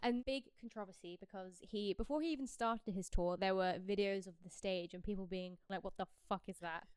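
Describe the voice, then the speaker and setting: A woman's voice: mid-range voice, fairly even intonation, brisk, clean tone, no room sound. A British woman co-hosting a podcast in casual, gossipy chat about pop culture, swearing casually. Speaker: podcast co-host.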